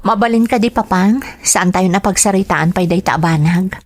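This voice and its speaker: An actress playing a dutiful, long-suffering elder sister with an Ilocano accent in an emotional radio drama. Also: female voice, mid-range voice, moderate pace, lightly acted. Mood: calm, forgiving.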